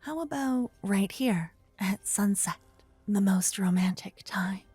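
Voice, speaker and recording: A woman acting as a sweet young paladin adventurer in an ASMR fantasy roleplay. She speaks slowly in a soft, breathy voice, caring and playfully teasing, and gets flustered easily. The sound is close and dry, with music underneath. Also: mid-range voice, no echo. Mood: fond, playful.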